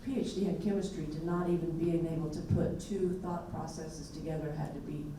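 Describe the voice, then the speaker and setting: A woman's voice: low-pitched, fairly even intonation, brisk, warm tone. A woman moderating a community forum, introducing speakers in a loose, chatty way. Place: lecture hall. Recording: echoey hall, mic far off, noisy.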